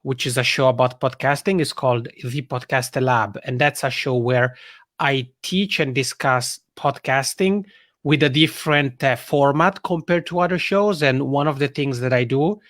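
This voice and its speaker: A man's voice: mid-range voice, a bit bright, dry recording. Swiss-Italian man, dry close sound. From Italian-speaking Switzerland. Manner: articulate, measured, explains in lists. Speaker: podcast guest, podcasting consultant. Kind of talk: interview answers.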